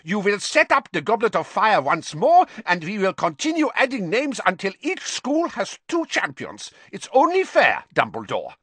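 Male narrator doing a scheming headmaster, sly, unctuous, volatile, wildly varied pitch, audiobook villain. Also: huge pitch swings, normal speed, hardly any pauses, a bit bright, slightly rough, full character voice. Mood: outraged. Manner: unctuous, turning explosively angry.

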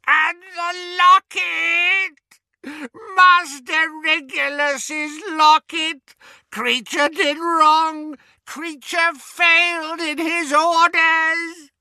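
A man voicing a servile, grieving old male house-elf with a croaking, gasping voice in an audiobook. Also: very high voice, animated, takes it slowly, sharp tone, no echo, voice pushed up, heavily acted. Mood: frantic, wailing. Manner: gasping, wailing, drawn out. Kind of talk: audiobook character voice.

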